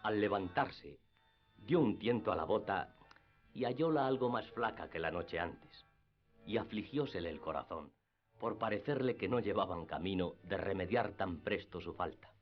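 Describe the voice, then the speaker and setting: Deep-voiced male radio drama narrator. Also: animated, moderate pace. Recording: slightly dull radio recording. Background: music.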